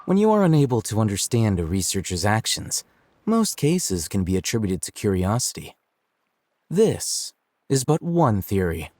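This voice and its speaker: An actor playing a cool, calculating young male scholar in a video game, speaking in a measured, articulate, detached way. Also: lowish voice, big pitch swings, a little mellow, a little hiss or hum. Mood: detached, analytical. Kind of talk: English video game voice acting.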